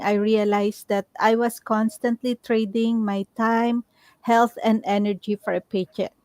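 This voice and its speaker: A woman's voice: mid-range voice, no echo. A middle-aged woman with a Filipino accent introducing herself as a panelist. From Philippines. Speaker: panelist, nurse. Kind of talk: self-introduction on an online panel.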